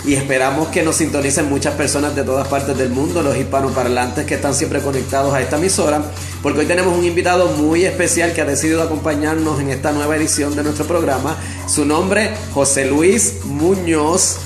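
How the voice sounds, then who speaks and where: A Puerto Rican man hosts a radio interview, his voice sitting a little high for a man. He speaks in formal announcer phrasing with measured pauses. The room echoes noticeably, and music plays behind him. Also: fairly even intonation. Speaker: radio host. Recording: roomy echo with music behind.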